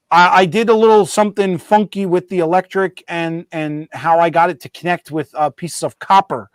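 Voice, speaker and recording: A man's voice: high voice, clean tone, no echo. A man hosting a model railroad show, chatting casually and joking as he rambles, restarts his sentences and corrects himself. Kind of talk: casual live-stream chat.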